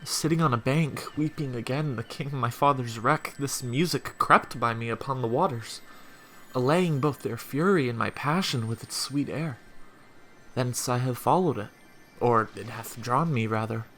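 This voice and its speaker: An actor playing a grieving, lovestruck young shipwrecked prince, speaking earnest verse in a Shakespearean audio drama. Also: male voice, mid-range voice, normal speed, a bit bright, soft background music, natural voice. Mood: grieving wonder. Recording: noisy remote online recording.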